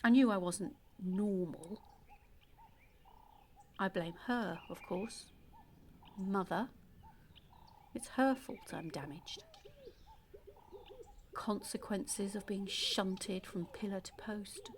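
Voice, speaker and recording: An actress playing a damaged, bitter woman in an audio play monologue, confiding and quietly menacing, often dropping close to a whisper. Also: mid-range voice, takes it slowly, pauses often, lightly acted. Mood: dark, menacing.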